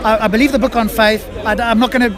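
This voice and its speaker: A man's voice: sits high, steady tone, racing. A man hosting a livestream street debate, challenging his opponents with probing questions and interruptions. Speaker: livestream host, sceptic debater. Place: busy outdoor public speaking spot. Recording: crowd chatter in public space.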